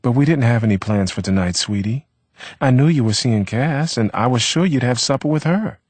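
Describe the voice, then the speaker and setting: A man's voice: medium pitch, animated, brisk, darkish tone, breathy edge, no room sound, pitched above the performer's own voice. A man voicing a young woman lover who coaxes and pleads defensively, in a wheedling manner. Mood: coaxing.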